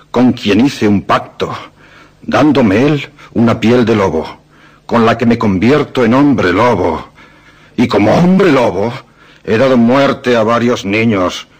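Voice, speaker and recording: Slow, husky confessed werewolf. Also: male voice, lowish voice, full character voice. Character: confessed teenage werewolf recounting his pact with the devil. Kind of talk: Spanish radio horror drama acting.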